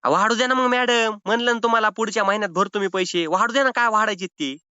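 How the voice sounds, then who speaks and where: A man's voice: high voice, quick pace, bright, forceful tone, clear, resonant tone, no room sound. A man playing a cheeky, evasive rural Maharashtrian borrower with a whiny, argumentative way of stalling in a comic phone call. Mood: defiant. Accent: rural Maharashtrian Marathi. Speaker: prank caller playing a loan defaulter. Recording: slightly dull phone-call audio.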